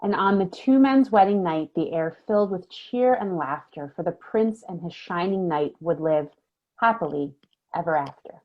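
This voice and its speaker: A woman's voice: fairly low pitch, animated, normal speed, lo-fi sound. A woman giving a dramatic storybook narration in lilting verse.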